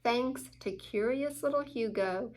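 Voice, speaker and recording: Slow-paced woman reading a storybook. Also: fairly high pitch. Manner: expressive, slow, deliberate storytelling. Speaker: storytime reader. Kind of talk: children's picture-book read-aloud with asides to young listeners.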